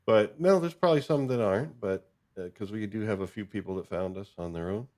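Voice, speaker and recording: A man's voice: on the low side, fairly quick, a little mellow. A man hosting a show in a casual, informal chat, chuckling between remarks. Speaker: show host.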